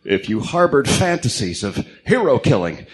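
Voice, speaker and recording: A man's voice: mid-range voice, very animated, moderate pace, a little hiss or hum, close to an everyday voice, low-quality recording. A man playing a brooding masked vigilante detective, terse and serious, in an amateur radio drama. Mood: grim, determined.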